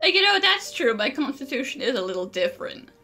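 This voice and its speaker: A woman's voice: high-pitched, big pitch swings, quick pace, vocal fry, pushed and bright, soft background music. A woman giving casual commentary on a game playthrough, with joking, sarcastic asides. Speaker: gaming streamer.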